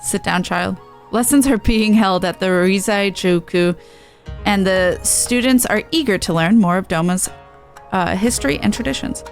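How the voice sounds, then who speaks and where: Woman streamer with a mid-pitched voice. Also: normal speed. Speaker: game streamer. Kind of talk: reading game dialogue aloud with casual asides. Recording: music under the voice.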